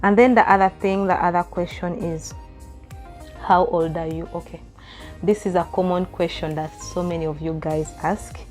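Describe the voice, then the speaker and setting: A Ugandan woman in her thirties talks to her viewers in a relaxed Q&A vlog. Her voice sits on the lower side, and she reads each question aloud, then rambles into chatty answers with small laughs. Soft music plays faintly behind her. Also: normal speed. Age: 30s. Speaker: vlogger. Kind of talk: casual Q&A chat to camera.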